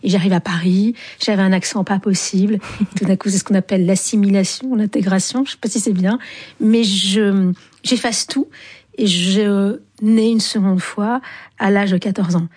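Brief: woman in her fifties from Algeria, warm mellow tone, reflective interview guest who pauses and hesitates as she recalls. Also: mid-range voice, steady tone, breathy edge. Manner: thoughtful, pauses often with hesitant fillers. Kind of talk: reflective personal storytelling in an interview.

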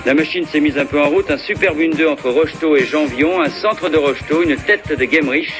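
Male football commentator, higher register. Archive broadcast sound, prominent music bed underneath. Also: fairly quick. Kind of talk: television match commentary.

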